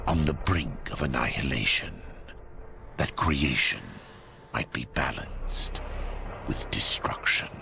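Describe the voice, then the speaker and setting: An actor playing a solemn narrator of a dark fantasy myth, slow and portentous, with a gravelly voice. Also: male voice, a bass voice, animated, a little hiss or hum, heavily acted. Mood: solemn, foreboding. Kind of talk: scripted narration. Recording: loud cinematic music behind.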